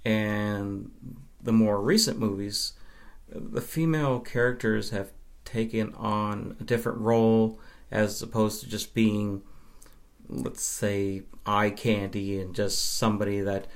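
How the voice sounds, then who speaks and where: A man's voice: on the low side, fairly even intonation, takes it slowly. A man from Nova Scotia, Canada, chatting casually as an interview guest and film fan.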